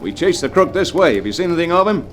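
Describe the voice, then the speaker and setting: A brisk, polite estate guard. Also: male voice, a little high, big pitch swings, a little hiss or hum. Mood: urgent. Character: earnest male estate guard. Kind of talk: 1940s radio acting.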